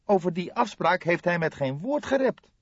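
An excitable young police detective in a crime audiobook. The voice is pushed high and loud, impulsive and full of suspicion, in a close, dry, slightly dull recording. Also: male voice, normal speed, breathy edge, no echo, full character voice. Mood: agitated, suspicious. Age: young adult. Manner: impulsive, exclamatory, questioning. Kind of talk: audiobook character dialogue.

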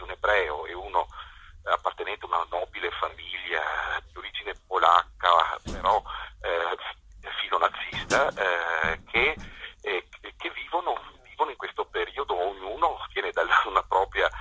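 A man with a very low but sharply forceful voice talks through a tinny telephone line. He explains things off the cuff, halting and doubling back on words. The delivery is that of an interviewed stage director. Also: breathy edge, no room sound. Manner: halting, repeats words as they form. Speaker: theatre director being interviewed. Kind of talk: spontaneous phone interview.

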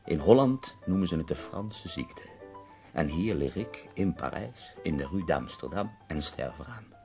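An actor playing a dying, bedridden poet in a radio play, theatrical and wry, complaining with witty self-pity. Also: male voice, low voice, expressive, unhurried, darkish tone, faint music behind. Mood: sardonic.

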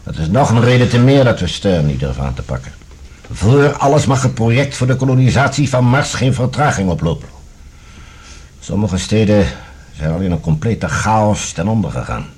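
An actor playing a grave, worried official of a troubled future in a Dutch radio drama, with a very husky voice and deliberate delivery. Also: male voice, lowish voice, animated, a little mellow. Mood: guarded, urgent.

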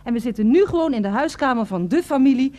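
A woman's voice: sits high, lively intonation, fast, warm tone. An actress playing an exasperated, bossy director in a radio play, insistent and indignant as she corrects.

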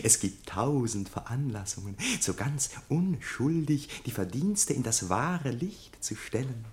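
Slow, pompous orator. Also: male voice, medium pitch, sing-song. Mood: sly, confiding. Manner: declamatory, pompous. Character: pompous male ringleader rallying a mutual-praise society. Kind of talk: theatrical radio play oratory.

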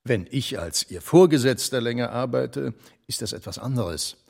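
A man's voice: mid-range voice, lively intonation, moderate pace, creaky, a little mellow. An actor playing an officious male department boss in a radio play, speaking formally and patronizingly.

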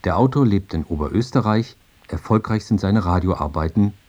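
A man hosting a radio programme, giving a scripted introduction in a measured, formal manner. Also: low voice, expressive, a little mellow, muffled recording. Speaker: radio host, announcer.

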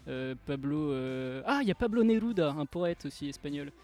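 A man's voice: on the high side, lively intonation, normal speed, darkish tone, soft background music. A young man from Andalusia, a native Spanish speaker, chatting hesitantly as a guest on a student radio show. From Andalusia, Spain. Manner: hesitant, many fillers, laughs often. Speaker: mystery guest. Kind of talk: casual radio chat.

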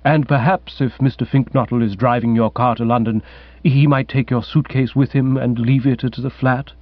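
A man playing a calm, deferential manservant in dry sound. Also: mid-range voice, no echo, highs cut off, voice pushed down, natural voice. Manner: measured, formal, understated.

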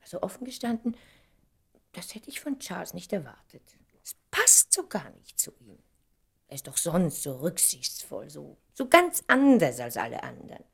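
An actress playing a proud, teasing, sophisticated stage star in a radio comedy drama, with an arch, breathy, theatrical delivery. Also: female voice, on the low side, huge pitch swings, moderate pace, full character voice. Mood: miffed.

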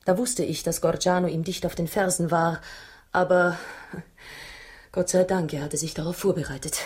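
An actress in a German radio drama playing an anguished wife who tells her story in a dramatic, halting way. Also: lowish voice, steady tone, a little mellow, husky. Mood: urgent, fearful. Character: anguished Italian wife recounting a murderous secret society's threat.

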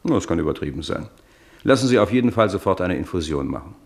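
An actor playing a blunt hospital doctor, clinical and matter-of-fact, in a German radio drama. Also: male voice, low-pitched, animated, quick pace, creaky, darkish tone. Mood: clinical, brisk.

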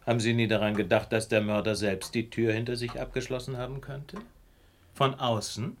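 An actor playing a brilliant detective in a German radio play, precise and didactic, with ironic, condescending politeness. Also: male voice, mid-range voice, little pitch movement, moderate pace, slight background noise, close to an everyday voice. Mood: probing, ironic. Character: brilliant male detective, superior, calmly lecturing, ironic.

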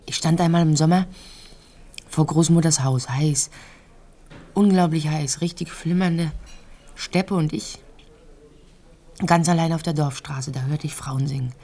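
A woman's voice: low voice, unhurried, long pauses. An actress in a radio drama playing a talkative, naive and frank young Ukrainian-born woman who tells her life in a rambling, conversational way. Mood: wistful, absorbed. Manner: rambling storytelling, occasional hushed phrases.

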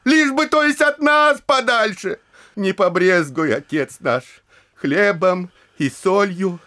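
Begging merchant, really high clear voice, super servile, stage comedy audio drama. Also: male voice, lively intonation, slow pace, sharp tone, heavily acted. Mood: fawning. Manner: obsequious, imploring. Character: humble, pleading, flattering merchant petitioner.

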